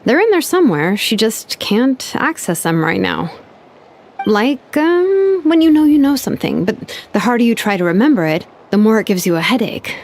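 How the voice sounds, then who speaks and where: A woman voicing a wry young engineer who muses aloud in an animated way. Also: on the high side, normal speed, slight background noise.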